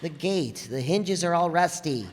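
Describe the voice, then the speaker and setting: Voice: medium pitch, expressive, unhurried, close to an everyday voice. A performer playing an eager but spooked young son, stammering urgently, in old-time radio comedy style. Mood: urging, uneasy. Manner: breathless stammering, urgent, boyish. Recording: echoey hall stage performance.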